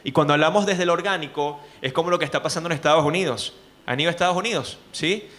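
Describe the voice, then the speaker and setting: A man with a Venezuelan accent, a journalist speaking to students in an animated way, often asking rhetorical questions. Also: on the high side, fast talker. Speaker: journalist and speaking coach, guest. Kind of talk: lively talk to students.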